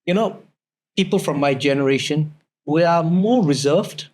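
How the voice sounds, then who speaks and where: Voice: mid-range voice, normal speed, darkish tone. Middle-aged host in casual interview. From Singapore. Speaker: host of a food talk show.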